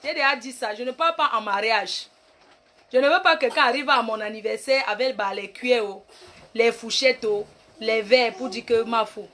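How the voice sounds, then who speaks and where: A woman's voice: a little high, moderate pace, a bit bright, close to an everyday voice. A woman playing a brash, boastful self-styled queen mother in a rambling comic monologue. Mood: bossy. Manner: bossy, rambling, mock-grand, trash-talking. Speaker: comic online personality. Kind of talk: comic live-stream monologue.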